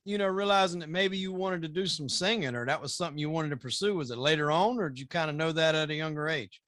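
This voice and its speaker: A male podcast host with a high, bright, forward voice who welcomes his guest in an upbeat, announcer-like way. The recording is dry and close, with no room around it. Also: fast, no echo. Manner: upbeat, announcer-style introductions. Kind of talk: podcast interview.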